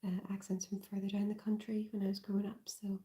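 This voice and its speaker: A woman with a softened Northern Irish accent, whispering in a relaxed ASMR livestream and rambling with plenty of fillers. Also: medium pitch. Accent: Northern Irish, softened and mixed. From Northern Ireland. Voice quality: soft whisper. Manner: halting, rambling, with many fillers.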